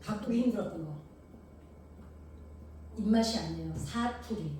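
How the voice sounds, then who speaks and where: A woman's voice: mid-range voice, normal speed, warm tone, recorded from a distance, a little hiss or hum. Korean woman preaching in an echoey hall. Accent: Jeolla-tinged Korean. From Gangwon Province, South Korea. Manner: poses rhetorical questions to the congregation; homely, anecdotal storytelling. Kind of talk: sermon.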